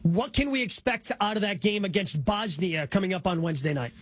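A man's voice: high voice, brisk, a bit bright, dry recording. A man hosting sports radio, chatty and casual, with lots of "like" in his talk. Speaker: radio host.

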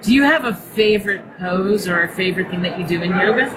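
A woman hosting an interview, encouraging and affirming her guest, then firing off quick follow-up questions. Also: fairly low pitch, crowd noise, rough recording. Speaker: interviewer host. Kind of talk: casual interview chat.

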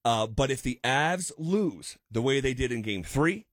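Analytical sports radio host, dry studio. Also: male voice, mid-range voice, animated, a little slow, dry recording. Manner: analytical, builds points with frequent restarts. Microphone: studio microphone.

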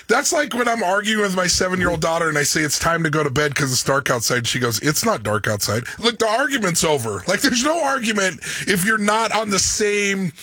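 A man in his thirties co-hosting a sports radio show, talking in a booming, animated way and laughing as he argues. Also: brisk, slightly rough, dry recording, studio quality. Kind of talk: casual sports talk banter.

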